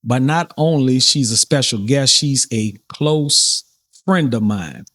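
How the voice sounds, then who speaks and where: A man's voice: medium pitch, takes it slowly, no echo, clean studio sound. Laid-back New Orleans man hosting. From New Orleans, USA. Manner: relaxed, repetitive, with many fillers and restarts. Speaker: podcast host. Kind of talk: casual interview chat.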